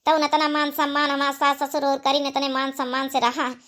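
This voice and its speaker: A woman's voice: high voice, completely flat delivery, brisk, a bit bright. A woman playing a domineering, grumbling older village mother-in-law in an Awadhi cartoon comedy, with an exclamatory manner. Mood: remorseful. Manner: scolding, exclamatory.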